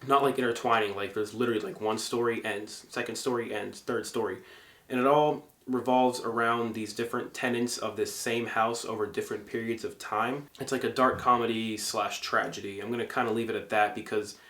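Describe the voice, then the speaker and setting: A man's voice: fairly low pitch, steady tone, brisk, a bit bright, reverberant. A young man from Egypt giving a casual movie review monologue, full of fillers and restarts. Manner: conversational, frequent fillers and self-corrections.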